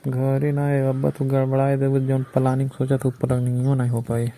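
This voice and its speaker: Mellow Bhojpuri village man, scheming lover played by an actor. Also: mid-range voice, monotone, normal speed, natural voice. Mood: coaxing. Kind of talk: casual phone conversation in rural dialect.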